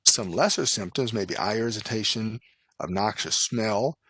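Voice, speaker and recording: A man narrating a scripted medical lecture as an instructor, in a formal, measured, instructional manner. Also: on the low side, a bit bright, breathy edge, no echo. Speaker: lecturer, nurse and poison specialist.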